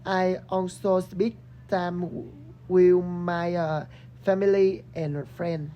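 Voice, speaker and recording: A young woman from Vietnam with a Vietnamese accent, giving a halting student presentation in English as a second language, often restarting words. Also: lowish voice, level tone, dull audio, a little hiss or hum. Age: young adult. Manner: hesitant, broken word starts. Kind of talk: halting rehearsed English class presentation and interview.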